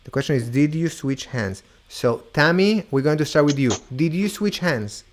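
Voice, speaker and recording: A man's voice: a little high, lively intonation, slight background noise. A man with an Argentinian accent, partly Hebrew-tinged, hosting a playful mentalist show over a video call, teasing guests and drawing out his reveals. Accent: Argentinian with Hebrew influence. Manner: teasing, upbeat, draws out reveals slowly.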